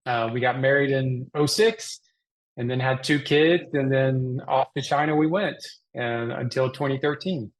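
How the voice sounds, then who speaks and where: A middle-aged man from Texas speaks as a guest in an interview. His voice sits at a medium pitch as he explains things in a conversational way. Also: unhurried, low-quality recording. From Texas, Dallas area. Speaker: guest, mission organization president.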